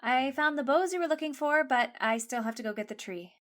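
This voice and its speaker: A woman's voice: sits high, expressive, fairly quick, fairly bright tone. A woman playing a slender, helpful but wary schoolgirl in an audio drama, eager yet hesitant.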